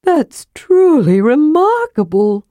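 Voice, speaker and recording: A woman's voice: a little high, sing-song, measured pace, a little mellow, no echo, clean studio sound, pitched above the performer's own voice. A woman voicing an eager, chattering male kender who protests indignantly. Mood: awed. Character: cheerful chattering male kender, small and nimble.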